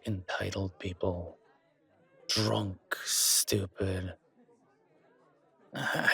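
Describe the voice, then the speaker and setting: A man playing a low, sardonic young guard. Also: vocal fry, a bit bright, slightly rough, full character voice. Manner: dry, sardonic, measured. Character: sour, reluctant young male guard scornful of nobles. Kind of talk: audio roleplay drama acting.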